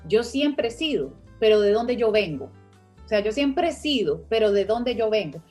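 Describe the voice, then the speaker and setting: A woman explains her ideas step by step as the guest in an online conversation. Her voice sits a bit high, and soft music plays underneath. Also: sing-song, moderate pace. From Costa Rica. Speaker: finance teacher and guest. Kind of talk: online interview, teaching.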